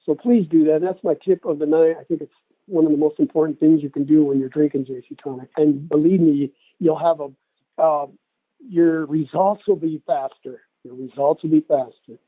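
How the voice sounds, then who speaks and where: Middle-aged American male, slightly high voice, folksy rambling product pitch, thin phone-call sound. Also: fairly even intonation, normal speed, slightly rough, dry recording. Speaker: product promoter giving a testimonial on a conference call. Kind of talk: informal testimonial sales talk. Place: telephone conference call. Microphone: telephone. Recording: tinny band-limited phone line.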